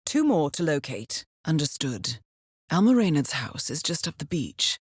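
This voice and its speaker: A composed female intelligence handler in a spy video game, speaking on the lower side in a close, dry recording. Her delivery is formal and clipped, with expressive, swooping intonation and a wry edge as she guides an assassin. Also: unhurried, husky, dry recording, exaggerated voice. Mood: composed, businesslike. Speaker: mission handler. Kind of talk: scripted video game briefing voice acting.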